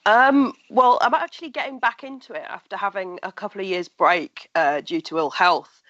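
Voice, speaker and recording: A woman's voice: mid-range voice, normal speed, fairly bright tone, no room sound, lo-fi sound. A woman guest with a blocked, nasal voice, chatting casually in an online radio interview.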